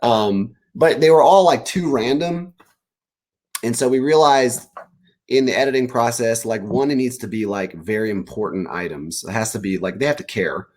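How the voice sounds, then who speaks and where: A man talking casually as an author guest in an interview, animated and rambling as he pieces ideas together aloud. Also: mid-range voice, moderate pace. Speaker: children's book author, guest. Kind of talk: casual interview answers about writing.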